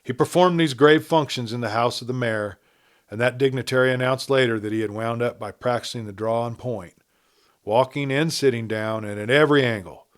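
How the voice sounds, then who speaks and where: A man's voice: mid-range voice, brisk. Man narrating a western tale. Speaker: audiobook narrator.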